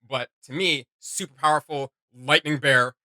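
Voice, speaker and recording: A man's voice: fairly high pitch, a little slow, bright, forceful tone, no echo. A man hosting a reaction video, giving casual, opinionated commentary in an analytical, emphatic way.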